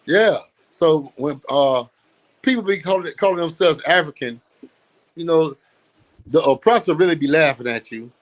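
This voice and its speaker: Emphatic preachy man, slightly high voice. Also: normal speed, pauses often, low-quality recording. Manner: emphatic, preachy, repeats phrases, laughs often. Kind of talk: street-teaching talk.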